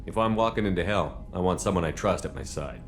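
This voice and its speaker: A deep, blunt space commander. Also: male voice, animated, quick pace, soft background music. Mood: firm. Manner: blunt, firm. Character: tough male human commander, blunt.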